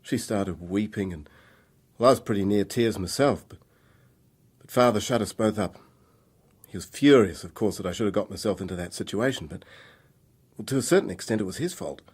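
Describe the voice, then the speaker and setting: A troubled young man on the run in a radio drama. His voice sits fairly low, and he talks informally, in a hesitant, confessional way, bitter and weighed down by guilt. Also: fairly quick, darkish tone, breathy edge. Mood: uneasy, confessional.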